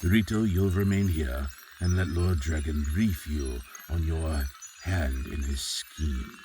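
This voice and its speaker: An actor playing an imperious, scheming villain overlord in an audio drama, condescending and deliberate. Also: male voice, rumbling low, warm tone. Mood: smug, commanding. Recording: clearly noisy recording.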